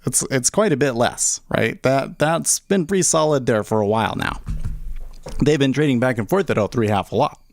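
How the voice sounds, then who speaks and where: A man's voice: mid-range voice, lively intonation, normal speed, gravelly, dry recording, studio quality. A man hosting a livestream as a day trader, thinking aloud in casual, conversational talk and often checking in with his listeners.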